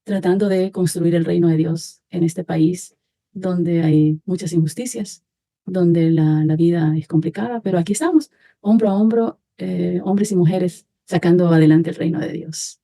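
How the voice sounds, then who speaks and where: Woman panelist, earnest, deep and very dark timbre. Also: steady tone, normal speed, no echo. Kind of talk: panel discussion answer.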